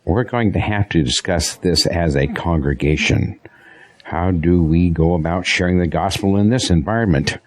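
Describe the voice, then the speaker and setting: Man acting as devout pastor, very low voice, earnest, resolute, audio drama. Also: normal speed, lightly acted. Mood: resolute, grave. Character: devout, steadfast male pastor facing persecution, married.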